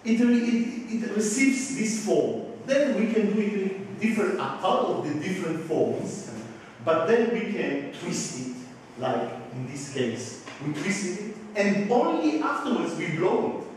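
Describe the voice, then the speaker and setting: A man whose first language is Hebrew, explaining glassmaking to an interviewer in halting English, often stopping to search for words. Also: high-pitched, very animated, very echoey, long reverb tail, far from the mic, slight background noise, low-quality recording. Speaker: glass designer. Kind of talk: explaining his work to an interviewer.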